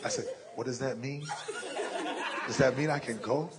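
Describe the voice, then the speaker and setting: A young adult man with a deep voice, preaching a sermon and telling a personal story in an animated way, acting out the lines he quotes. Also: normal speed, crowd noise.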